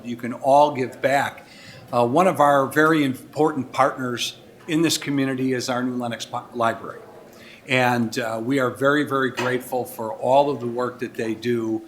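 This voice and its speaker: A man's voice: medium pitch, a bit bright. A man in his sixties with an American accent giving a public recognition speech as a mayor, partly reading, with informal, folksy asides. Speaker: mayor presiding over a village board meeting. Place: indoor village board meeting room.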